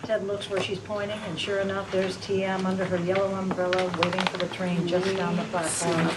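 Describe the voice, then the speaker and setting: A woman reading stage directions aloud in a brisk, matter-of-fact way. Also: mid-range voice, little pitch movement. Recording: distant over loud crowd and noise.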